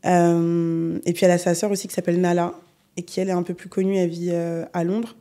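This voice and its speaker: Low mellow-voiced woman singer, relaxed. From Paris region, France. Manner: relaxed, unhurried, many fillers. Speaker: guest singer. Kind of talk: casual interview chat.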